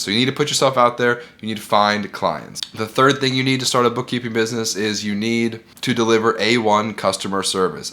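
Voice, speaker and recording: A man's voice: on the low side, a bit bright. A man who is sick and congested, giving earnest, encouraging business advice straight to camera and repeating his key points. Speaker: bookkeeping business owner and video host.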